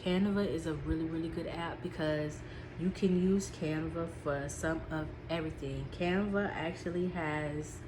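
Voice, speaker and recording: A woman with a stuffed-up, sniffly nose talks through her notes in a low, relaxed voice. She chats along casually, filling pauses with ums and circling back over her points. A steady hiss sits under the recording. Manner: chatty, with frequent "um" and repeats. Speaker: small business vlogger.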